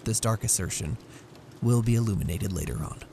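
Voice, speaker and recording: A man giving a measured, theatrical reading of a story as scripted narration. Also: lowish voice, creaky, noisy background. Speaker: story reader.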